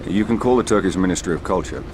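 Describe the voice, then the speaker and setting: A man's voice: deep voice, quick pace, a little mellow, slight background noise, lightly acted. A man playing a cool, self-assured secret agent in a game cutscene, dry and understated. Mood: dryly polite. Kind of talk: scripted game cutscene acting.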